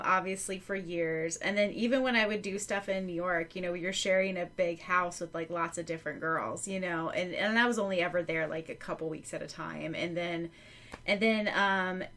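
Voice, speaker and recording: A woman's voice: lowish voice, a bit bright. A woman co-hosting a podcast in a casual, chatty way, telling things informally and animatedly with asides. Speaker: podcast co-host.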